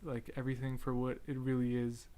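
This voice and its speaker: Hesitant young man with a flat, stuttering delivery. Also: mid-range voice, brisk, a crowd in the background. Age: young adult. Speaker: podcast host. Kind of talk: casual solo podcast chat.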